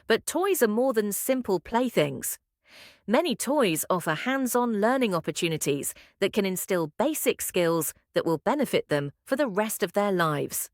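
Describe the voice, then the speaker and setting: A woman reading practice passages aloud as a test-prep narrator, in a measured, clearly enunciated scripted style. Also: medium pitch, sing-song, no echo, clean studio sound.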